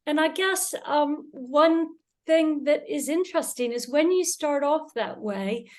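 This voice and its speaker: Female panelist, high-pitched, measured and reflective self-introduction. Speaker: panelist, charity fundraiser.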